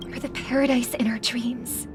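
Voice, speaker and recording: High-voiced, earnest young woman played by an actress. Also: little pitch movement, moderate pace, over music, lightly acted. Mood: resolute. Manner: earnest, formal, poised. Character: gentle, earnest, principled young woman singer. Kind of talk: dramatic video game voice acting.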